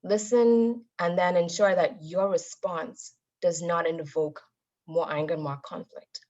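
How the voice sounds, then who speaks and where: A woman speaks with a somewhat low voice in a motivational talk. Her delivery is deliberate and emphatic, like a trained public speaker giving instruction. The audio is slightly dull and lo-fi. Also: fairly even intonation, darkish tone. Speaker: guest speaker, Toastmasters member.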